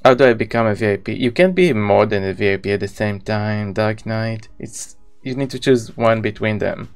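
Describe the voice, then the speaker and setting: A man's voice: fairly low pitch, a little fast, clear, resonant tone, soft background music. A man in his thirties with an Israeli accent, a native Hebrew speaker, chatting casually with viewers as he live-streams a video game.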